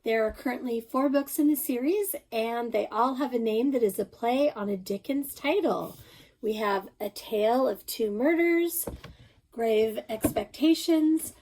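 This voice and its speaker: A woman's voice: on the high side, mellow, low-quality recording. A woman speaking as an author, putting a trivia question to viewers in a direct, prepared way. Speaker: mystery author. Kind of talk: friendly quiz question to viewers. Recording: faint background noise.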